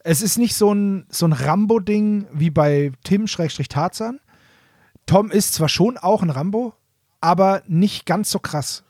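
A man's voice: sits high, unhurried, a little mellow, no echo, studio quality. A man co-hosting a podcast in informal, casual chat, rephrasing his thoughts as he goes. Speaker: podcast co-host. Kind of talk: casual chat about nostalgic audio dramas.

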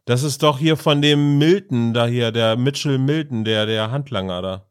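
Ein Mann, der in einem lockeren Podcast ruhig und sachlich mitredet. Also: male voice, mid-range voice, moderate pace, clear, resonant tone. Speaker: podcast co-host. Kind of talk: casual podcast chat.

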